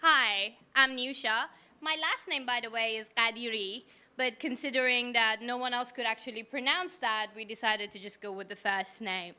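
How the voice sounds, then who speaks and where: A woman's voice: on the high side, moderate pace, bright, forceful tone, smooth. A young woman from Iran telling her personal story to a live audience in a frank, wry, casual way, asking the room questions.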